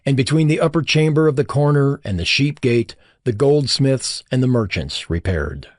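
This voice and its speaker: A man narrating scripture from a script in a solemn, dramatic style with measured phrasing. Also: medium pitch, animated, no room sound, studio quality. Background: background music.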